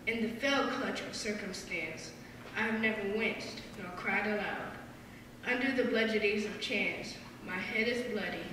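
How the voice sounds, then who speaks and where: A person reciting a poem as a reader at a live event in a large hall. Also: mid-range voice. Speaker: poetry reader. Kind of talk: poetry recitation. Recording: echoey hall from far off, noisy.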